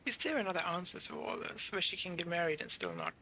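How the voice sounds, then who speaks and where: A woman's voice: lowish voice, wildly swooping pitch, fast, pushed and bright, a little hiss or hum, poor audio. A woman game streamer giving casual live commentary, musing aloud in an offhand way.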